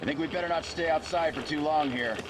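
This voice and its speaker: A man's voice: fairly high pitch, fast talker, natural voice. An actor playing an alert soldier in a video game, reporting to his commander in a matter-of-fact way. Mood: wary, businesslike. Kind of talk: dramatic video game acting. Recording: loud outdoor vehicle noise.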